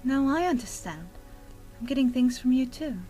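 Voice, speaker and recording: Woman voicing a young time lady artist, high voice, swooping intonation, defiant, idealistic, audio drama. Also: animated, normal speed, dark tone, rough recording. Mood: softening. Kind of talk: audio drama character dialogue. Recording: music behind the voice.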